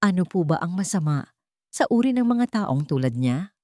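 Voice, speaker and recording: A woman's voice: lowish voice, sing-song, normal speed, a little mellow, no echo, clean studio sound. A woman voicing a sheltered young granddaughter in a dramatic story, respectful but firm, with a slight tremble. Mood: defiant.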